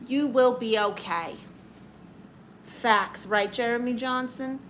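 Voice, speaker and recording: A woman's voice: fairly high pitch, a bit bright, slight background noise. A young woman with a Brooklyn accent, hosting a livestream, reading viewer comments and firing back sassy advice and comebacks. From Brooklyn, New York. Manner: brassy, sassy, quick comebacks. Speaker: livestream host giving advice.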